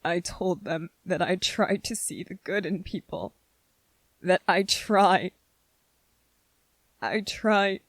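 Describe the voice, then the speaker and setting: A gentle young soldier played by a woman speaks in a low, husky voice for an ASMR drama. He is anxious and full of self-doubt, halting between phrases at a slow pace. The sound is soft and wounded. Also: no room sound. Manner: hesitant, halting, with repeated phrases and pauses. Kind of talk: scripted dramatic ASMR roleplay monologue.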